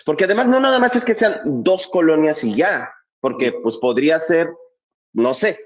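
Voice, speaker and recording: A man argues with heat and conviction, stacking rhetorical questions and blunt swearing. His voice sits fairly high for a man. The recording sounds dull and lo-fi. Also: lively intonation, moderate pace. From Mexico, Coacalco in the State of Mexico. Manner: passionate, emphatic, firing rhetorical questions, swears freely. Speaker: theatre artist in a panel conversation. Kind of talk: casual festival talk.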